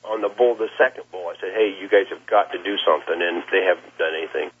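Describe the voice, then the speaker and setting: A man, a plain-spoken cattle rancher giving a halting account in an interview over the telephone. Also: sits low, slight background noise. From Nebraska, United States. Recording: muffled, lo-fi phone interview line.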